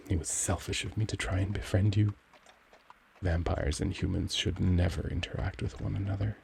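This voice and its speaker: A man playing a gentle, cultured young vampire in an intimate ASMR roleplay, speaking formally and tenderly as he struggles for control. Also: sits low, vocal fry, a little hiss or hum. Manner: formal, courtly, tender, brooding.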